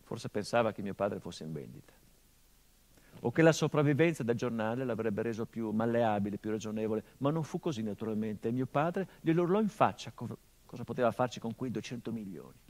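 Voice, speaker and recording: An actor playing a hardened, grieving middle-aged son, delivering a measured theatrical monologue that drops to hushed tones. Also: male voice, mid-range voice, animated, close to an everyday voice. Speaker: stage actor.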